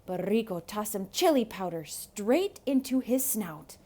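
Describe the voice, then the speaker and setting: A woman reads a children's story in a theatrical, expressive way. Her delivery is unhurried, her pitch sits mid-range and it rises and falls with great animation. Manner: dramatic, expressive. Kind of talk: theatrical children's read-aloud narration.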